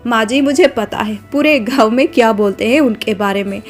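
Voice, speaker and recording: Woman doing a soft, deferential young bride for a storybook cartoon, fairly high voice. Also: normal speed, soft background music, close to an everyday voice. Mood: polite. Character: young, dutiful newlywed village bride.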